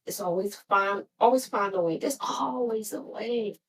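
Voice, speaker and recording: A woman's voice: medium pitch, unhurried, recorded from a distance, slight background noise, rough recording. A woman in her fifties chatting casually with her daughter, blunt and playful, often laughing. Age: 50s. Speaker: podcast guest, author and host. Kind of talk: casual family chat, question cards.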